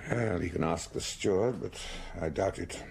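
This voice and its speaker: An actor in a radio drama playing a fretful, practical country doctor with a gravelly voice. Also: male voice, deep voice, normal speed, a little mellow. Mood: worried. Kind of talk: BBC radio drama acting. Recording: noisy old radio broadcast.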